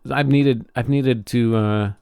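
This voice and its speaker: A man's voice: mid-range voice, steady tone, gravelly, darkish tone, clean tone, no echo, clean studio sound. A man co-hosting a podcast in casual conversation, often stopping and restarting his sentences as he thinks aloud. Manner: halting, frequent self-interruptions. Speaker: podcast co-host.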